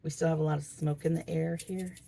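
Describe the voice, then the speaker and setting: A middle-aged woman with a hoarse voice, chatting casually and thinking aloud as she works on a diamond painting. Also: sits low, fairly even intonation, a little fast, mellow. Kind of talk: casual crafting vlog chat.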